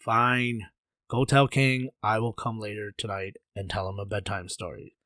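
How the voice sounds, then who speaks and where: A man's voice: fairly low pitch, little pitch movement, normal speed, bright, forceful tone, no echo, clean studio sound. A man voicing a sly, laid-back trickster in a folktale, with cool, slangy talk. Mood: reluctant, offhand.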